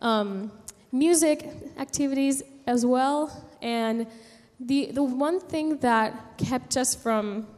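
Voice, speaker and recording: A young woman from San Juan tells a personal story to a gathering, haltingly, pausing mid-sentence. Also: sits high, a little mellow. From San Juan, Puerto Rico. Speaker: speaker giving a personal testimony. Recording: long hall reverb.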